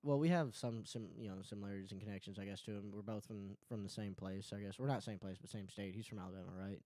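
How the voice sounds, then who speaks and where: A young man co-hosting a podcast in casual chat, laid-back, laughing along and joking deadpan. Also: on the low side, fast, no room sound. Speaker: podcast co-host. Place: small home room. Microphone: close studio mic on boom, headphones.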